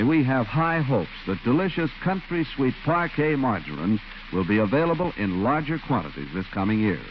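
A man's voice: mid-range voice, a little hiss or hum. An American man announcing in a scripted 1940s radio style, as for sponsor spots and credits. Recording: muffled 1940s radio recording, faint music.

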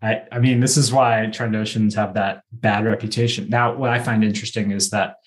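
A man co-hosting a casual fan podcast, telling things in an animated way with mock-quoted dialogue. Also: lowish voice, brisk. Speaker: podcast co-host.

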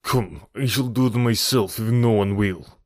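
A man voicing an insistent, self-righteous gentleman accuser in an audiobook, formal and pressing. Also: fairly low pitch, unhurried, no room sound, clean studio sound, close to an everyday voice. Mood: insistent, accusing. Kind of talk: audiobook character voice.

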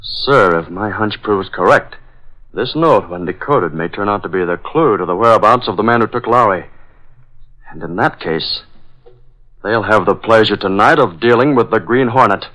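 An American actor playing a decisive boss and secret masked crime-fighter, crisp, deliberate and melodramatic in 1940s radio style. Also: male voice, lowish voice, a bit bright, close to an everyday voice. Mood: intrigued, determined. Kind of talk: 1940s radio drama acting. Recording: dull, band-limited old radio recording.

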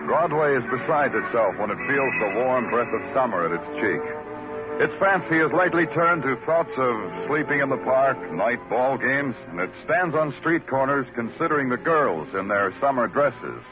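An American actor playing a wry, world-weary, laconic police detective narrating an old-time radio drama. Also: male voice, mid-range voice, expressive, normal speed, close to an everyday voice. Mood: wry, brooding. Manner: laconic, hard-boiled, poetic. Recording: dull old radio recording with music.

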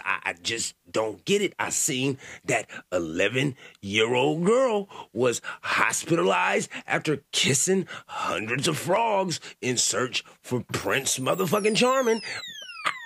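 An older man hosting a podcast, reacting to news clips in a loud, profane, stammering way with many restarts. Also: a little high, creaky, husky, no room sound, clean studio sound. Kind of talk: casual commentary reacting to clips.

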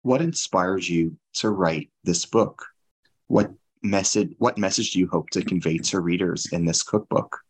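Low gravelly man, formal podcast host. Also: unhurried, dry recording. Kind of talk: formal scripted interview.

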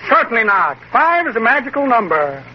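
A man's voice: very high voice, big pitch swings, a little fast, slightly rough, full character voice. An actor playing a pompous, bumbling male con-man magician in a 1940s radio comedy, talking grandly and coughing. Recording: dull, hissy old radio recording.

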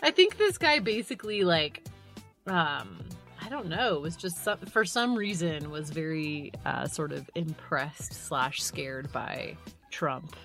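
A woman's voice: deep voice, expressive, takes it slowly, fairly bright tone, faint music behind. A woman co-hosting a podcast, talking casually in a loose, rambling way with trailing phrases. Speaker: podcast co-host. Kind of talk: casual conversational talk.

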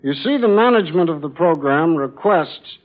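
A man's voice: on the high side, animated, normal speed, phone-quality sound. An American man speaking formally and courteously as a guest quizmaster, in prepared talk on a 1940s radio broadcast. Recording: thin band-limited 1940s radio broadcast.

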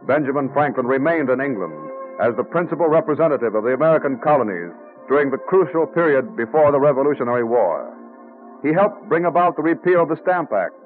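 A man delivers formal, measured narration in classic radio style. His pitch is medium and his manner solemn. The recording is narrow and muffled, with soft music underneath. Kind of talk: old-time radio scripted narration. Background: faint music.

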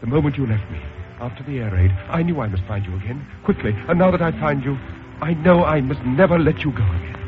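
An actor playing an impulsive, lovestruck young army captain in a 1940s radio drama, hesitant and stammering, then ardent. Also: male voice, medium pitch, lively intonation, fast talker, hardly any pauses, music in the background, lightly acted. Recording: tinny old radio broadcast with hiss.

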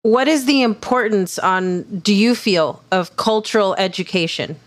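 A woman's voice: mid-range voice, measured pace. Woman host, playful and joking, interview chat, light music bed. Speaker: podcast host.

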